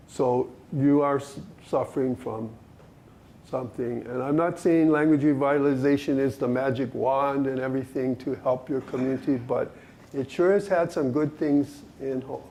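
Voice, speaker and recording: Gravelly-voiced man from Hawaii. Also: medium pitch, a little hiss or hum. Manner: measured and reflective, with soft laughs. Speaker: workshop presenter. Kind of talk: discussion at a language workshop.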